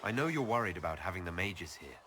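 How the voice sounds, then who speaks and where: Game protagonist, diplomatic leader. Lowish, expressive voice, level-headed and inquiring. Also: male voice, fast, slight background noise, exaggerated voice. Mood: composed, questioning. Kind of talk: video game voice acting.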